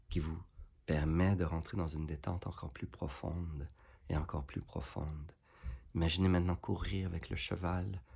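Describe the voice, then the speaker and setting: A man leading a guided relaxation meditation as a yoga nidra instructor, giving soothing, unhurried instructions with pauses. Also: low voice, vocal fry, a little mellow, husky.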